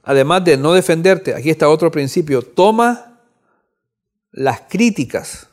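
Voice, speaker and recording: A man's voice: on the high side, animated, measured pace. A man in his fifties from Cuba, giving an earnest Bible study commentary and often repeating words as he gathers his thoughts. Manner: earnest, repeats words while thinking, rising on emphasis. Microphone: audio recording.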